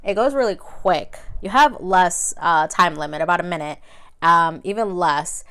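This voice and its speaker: A woman's voice: on the low side, normal speed, a bit bright, smooth. A woman who is a native Spanish speaker, chatting casually in an advice vlog, conversational and repeating phrases for emphasis. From Mexico. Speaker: video creator.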